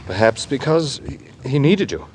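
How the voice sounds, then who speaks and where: An actor playing a sensitive, troubled, self-effacing gentleman in an old-time radio drama, speaking with earnest feeling. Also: medium pitch, big pitch swings, moderate pace, close to an everyday voice. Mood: concerned, self-effacing. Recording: noisy old radio broadcast recording.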